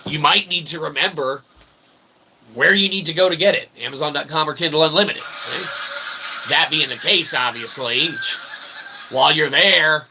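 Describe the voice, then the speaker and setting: Theatrical rambling man, creaky voice, noisy recording. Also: a little high. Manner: theatrical, mock-formal wording with frequent check-in tags. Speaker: livestream host and self-published writer. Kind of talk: rambling comedic philosophical monologue to an audience. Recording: clearly noisy recording with background ambience.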